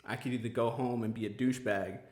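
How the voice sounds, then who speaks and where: A man with a middle-pitched voice hosts a relaxed podcast conversation. He rambles earnestly, circling back with fillers and restarts. The room around him is noticeably echoey. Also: fast. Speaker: podcast host. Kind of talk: casual chat.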